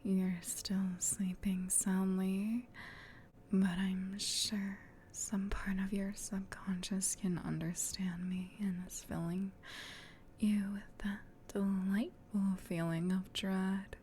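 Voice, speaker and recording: Woman voicing a slow, whispering female demon. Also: mid-range voice, little pitch movement, slight background noise, lightly acted. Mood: menacing, teasing. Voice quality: breathy, whispered. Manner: slow, teasing, hushed, intimate. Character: female sleep paralysis demon, menacing tease turned flustered gentle comforter. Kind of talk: whispered ASMR roleplay.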